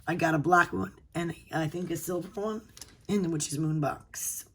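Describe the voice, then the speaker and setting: Low hoarse woman with a cold, soft gushy unboxing chat. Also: steady tone, normal speed, slight background noise. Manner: soft-spoken, gushing over pretty items. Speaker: unboxing channel host.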